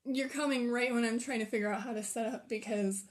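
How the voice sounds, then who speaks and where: A hoarse, tired-sounding woman hosting a casual livestream, rambling and thinking aloud as she sets up. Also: a little high, fairly even intonation, a little fast. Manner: rambling, self-deprecating, thinks aloud while sorting pieces. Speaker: livestream host. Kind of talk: casual livestream chat while setting up a build.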